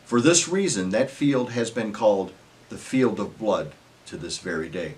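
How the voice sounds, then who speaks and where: A man's voice: fairly low pitch, normal speed. A man giving a scripted dramatic scripture reading as narrator, in a solemn, emphatic storytelling manner.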